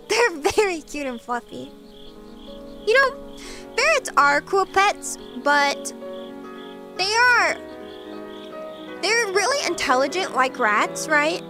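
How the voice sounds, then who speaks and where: High, bright, giggly woman streamer. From eastern Washington, USA. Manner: bubbly and giggly, gasps and laughs often. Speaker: VTuber streamer. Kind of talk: casual chat with viewers, reading comments.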